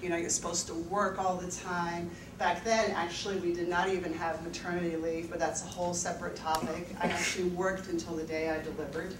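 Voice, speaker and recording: A woman's voice: on the low side, little pitch movement, a little fast, hardly any pauses. A woman in her fifties from the Netherlands, a financial advisor telling her personal story to an audience at a university event, candid, laughing often and using many fillers. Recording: echoey room, mic at a distance, background noise.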